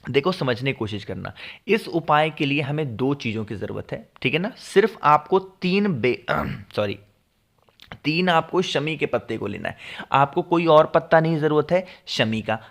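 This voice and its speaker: A man with a sore throat who clears it now and then, casually explaining home devotional rituals to viewers and often checking "all right?". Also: fairly high pitch, animated, moderate pace, fairly bright tone. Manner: repeats "ठीक है ना?" to check with viewers. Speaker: devotional remedies video host. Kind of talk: casual spoken instruction to viewers.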